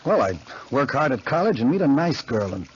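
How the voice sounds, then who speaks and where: An actor playing a breezy young American college pal with a wisecracking, slangy manner in a 1940s radio drama. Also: male voice, mid-range voice, very animated, a little fast, a little hiss or hum, close to an everyday voice. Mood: earnest. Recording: muffled 1940s radio recording.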